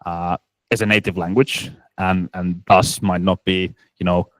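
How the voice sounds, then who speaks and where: A man with a very low, deep voice answers audience questions at a tech event, stumbling through restarts and filler words as he goes. His voice comes across close and dry, with no room sound. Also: level tone, fairly bright tone, no room sound. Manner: halting, many restarts and fillers. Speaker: startup presenter. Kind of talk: conference Q&A answer.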